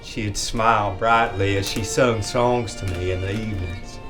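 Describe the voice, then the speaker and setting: A man's voice: lowish voice, steady tone, normal speed, over music, natural voice. A man playing a sentimental old-time soldier in a florid parody monologue. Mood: wistful. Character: wistful old-time Southern soldier pining for his belle.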